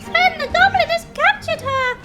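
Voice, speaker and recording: A woman voicing a tiny, bossy talking beetle in a storybook, squeaky, urgent and scolding. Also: moderate pace, over loud music. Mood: indignant, urgent.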